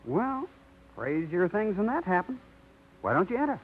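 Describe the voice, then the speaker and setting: A man's voice: high-pitched, very animated, moderate pace, slightly rough, exaggerated voice. An actor playing a teasing, easygoing young male friend with a playful manner, in a 1950s radio drama. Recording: tinny old radio broadcast.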